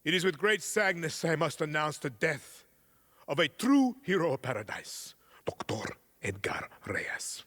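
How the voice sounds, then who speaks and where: Menacing, theatrical, wide-ranging dictator. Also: male voice, a little high, sing-song, a bit bright, exaggerated voice. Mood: solemn. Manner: measured, deliberate delivery with pointed pauses. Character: cold, menacing middle-aged male dictator, theatrical and controlled. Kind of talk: cinematic video game acting. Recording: music behind the voice.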